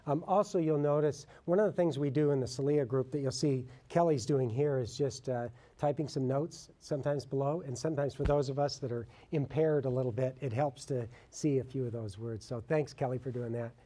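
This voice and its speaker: A man's voice: medium pitch, a little fast, few pauses, warm tone. A man facilitating a panel, speaking informally in an encouraging, conversational way. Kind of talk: informal moderating.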